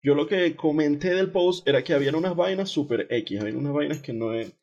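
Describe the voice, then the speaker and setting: Male podcast co-host, young, Venezuelan accent, pitched a bit above average, loose slangy gossip delivery with bits of English. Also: brisk, a little mellow, dull audio. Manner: informal, slangy, peppered with English words. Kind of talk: casual gossip chat, partly reading out posts.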